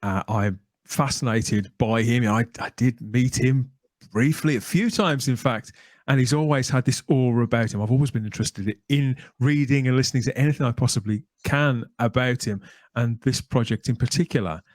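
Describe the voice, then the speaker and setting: A man's voice: medium pitch, moderate pace, a little mellow, no room sound. A middle-aged man hosting a podcast interview in a conversational, reflective and wordy way. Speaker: podcast host.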